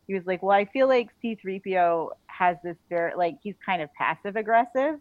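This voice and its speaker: A woman author talking casually as a podcast guest, halting and chatty, often saying like. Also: mid-range voice, animated, no room sound. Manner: halting and chatty, frequently says like. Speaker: guest author and audio drama writer. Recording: dull lo-fi audio.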